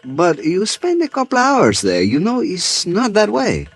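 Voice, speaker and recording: Singsong Spanish-accented police chief. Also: male voice, high-pitched, wildly swooping pitch, breathy edge, full character voice. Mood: courteous, evasive. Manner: halting, searching for English words. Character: relaxed Venezuelan police chief, mid-thirties, unhurried and courteous. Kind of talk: 1950s radio drama acting.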